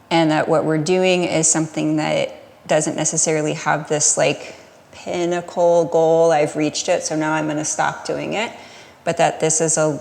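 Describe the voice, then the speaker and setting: Low-pitched, creaky-voiced American woman in reverb. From United States. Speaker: podcast guest, Pilates studio owner. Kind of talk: casual interview conversation.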